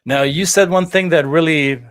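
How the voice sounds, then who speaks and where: A man's voice: a little high, normal speed, dry recording, studio quality. A European man hosting an interview, asking curious leading questions. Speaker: podcast host. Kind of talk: interview conversation.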